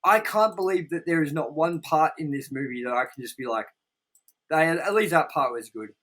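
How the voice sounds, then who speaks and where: Man, slightly high bright voice, casual review banter, rambling, sweary, emphatic. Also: animated, normal speed. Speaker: review show co-host. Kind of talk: casual movie review chat.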